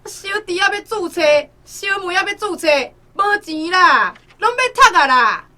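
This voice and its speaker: A woman with a Taiwanese accent playing a distraught, scolding village mother in a radio drama, loudly wailing her complaints. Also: very high voice, big pitch swings, normal speed, over loud music. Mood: wailing, exasperated.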